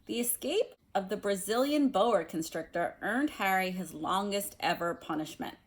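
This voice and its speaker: A woman speaking as a teacher doing a read-aloud of a children's novel, explaining things in a careful, measured way. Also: medium pitch, expressive. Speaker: teacher reading aloud to students.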